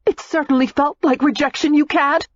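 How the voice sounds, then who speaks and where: A hot-tempered young woman in a storybook, her voice pitched high and sharp with indignation. A woman narrator acts her out. It sits on a dry, slightly muffled audiobook recording. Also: fairly even intonation, moderate pace, no echo, natural voice. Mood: indignant. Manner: raised, heated, accusing delivery. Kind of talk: audiobook character voice.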